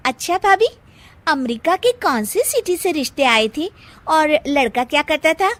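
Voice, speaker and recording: A woman acting a sly, high-voiced teasing sister-in-law. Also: sing-song, measured pace, a bit bright, heavily acted. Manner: mock-innocent, needling questions.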